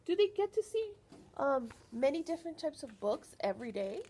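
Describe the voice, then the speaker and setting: A woman reading a picture book aloud to young children as a librarian, animated and teacherly, often pausing to put questions to her listeners. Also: high-pitched, a little hiss or hum.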